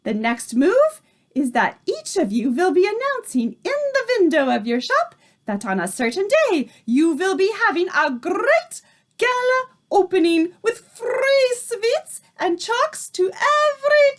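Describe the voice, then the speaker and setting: A woman reading aloud, voicing the tiny Grand High Witch, a furious and commanding female witch leader who shouts and speaks in odd broken grammar. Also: big pitch swings, slow pace, full character voice. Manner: shouting, shrieking, odd foreign-sounding grammar.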